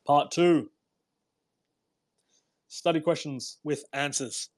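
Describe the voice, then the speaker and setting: A man with a husky voice hosting a read-aloud, delivering a book in an emphatic, deliberate way with informal commentary. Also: a little high. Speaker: host reading a self-help book aloud. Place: live online audio room broadcast.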